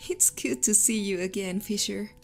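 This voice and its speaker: A man's voice: high voice, fairly even intonation, moderate pace, vocal fry, faint music behind, voice pushed up. A man voicing an ageless, playful fae woman who teases an old friend, in a storybook reading. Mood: teasing.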